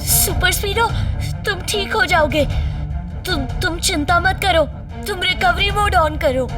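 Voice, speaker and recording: A woman's voice: very high-pitched, measured pace. A woman voicing a brave, loyal young boy hero in a kids' cartoon, with a childlike, earnest, emphatic delivery. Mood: worried, determined. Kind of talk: Hindi kids' cartoon dubbing. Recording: distant voice under loud music.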